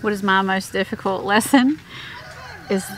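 A woman's voice: medium pitch, normal speed, low-quality recording. A woman in her thirties talking casually outdoors on her homestead, with many fillers and restarts. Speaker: homestead vlogger. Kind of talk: casual chat.

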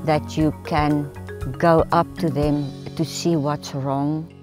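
A woman's voice: sits low, level tone, takes it slowly, music in the background. A middle-aged woman, speaking as a wildlife project founder in a documentary interview. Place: outdoors in open bush, seated.

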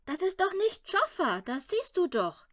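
A woman's voice: very high-pitched, very animated, unhurried, a bit bright, pitched above the performer's own voice, exaggerated voice. A woman voicing an earnest, eager little boy in a children's storybook, insistent and hopeful. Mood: protesting.

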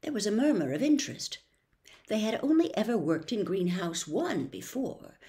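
An older woman narrates a story in an expressive audiobook style. Her pitch moves widely, giving the reading a lot of animation. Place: small furnished room indoors.

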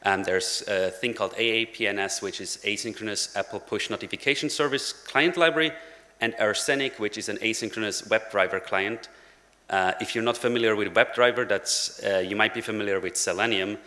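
A man's voice: on the low side, a little fast, pushed and bright. A young man giving a casual technical conference talk at a lectern, with many "uh" fillers and dry jokes. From Switzerland. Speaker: conference speaker. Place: large conference hall at a lectern. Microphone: lectern microphone. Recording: long hall reverb.

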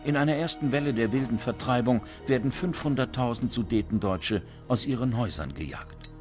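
Male documentary narrator, scripted delivery, medium pitch, music underneath. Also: a little fast, lo-fi sound. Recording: music bed behind the voice.

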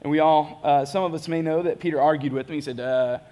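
Man, 30s, pastor on stage, casual self-mocking preaching, a touch high-pitched. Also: fast, dark tone, muffled recording. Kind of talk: sermon. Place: large church stage.

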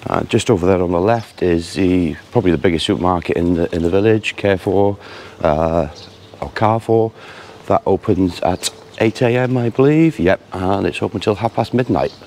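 Low-voiced man giving a relaxed walking tour. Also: a little hiss or hum. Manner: relaxed, chatty, with frequent "uh" pauses. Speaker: travel vlogger. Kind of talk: casual walking-tour commentary. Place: outdoors on village streets.

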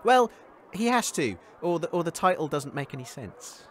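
An actor playing an exasperated radio presenter in a comedy radio play, with a halting, awkward delivery. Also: male voice, fairly high pitch, big pitch swings, fairly bright tone, a little hiss or hum. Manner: halting, awkward, put-upon.

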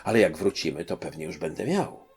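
A man's voice: sits low, very animated, fairly quick, darkish tone, pitched below the performer's own voice. A man playing a worried, earnest, polite doctor in a storybook.

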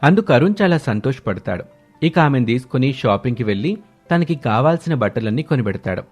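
A man's voice: medium pitch, animated, brisk, a little mellow, husky. A man narrating a scripted story as its narrator. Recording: music bed under the voice.

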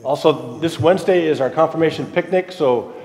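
A man leading church announcements and giving the blessing in a relaxed, friendly way. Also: a little high, a little fast, roomy sound, big-room sound. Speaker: pastor. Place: church sanctuary.

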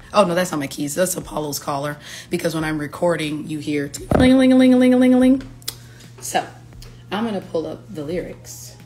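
Raspy, allergy-hoarse young woman chatting live. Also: fairly low pitch, normal speed, long pauses, darkish tone. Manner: chatty, playful, breaks into lyrics. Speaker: singer-songwriter. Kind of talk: casual livestream chat. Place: her living room at home, indoors. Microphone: phone camera at arm's length.

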